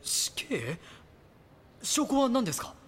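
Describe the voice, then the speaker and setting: A man's voice: very high-pitched, big pitch swings, slow pace, a bit bright, slight background noise, full character voice. A man playing an earnest junior reporter in an audio drama, deferential but full of doubt and questions. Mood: troubled, objecting.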